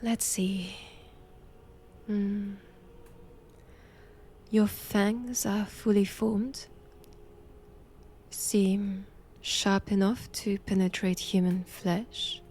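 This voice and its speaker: A woman with a French accent playing a stern, cold vampire-hunting nun in an intimate audio roleplay, measured and commanding. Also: medium pitch, steady tone, darkish tone, slight background noise. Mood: clinical, fascinated.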